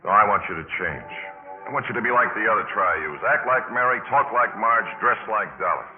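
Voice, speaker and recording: A man's voice: fairly low pitch, animated, a little fast, close to an everyday voice. Old radio, wry roguish young man acted. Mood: sardonic. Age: young adult. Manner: wry, flippant. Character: wisecracking, roguish young college playboy. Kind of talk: 1950s radio acting. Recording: muffled narrow-band 1950s radio, music behind.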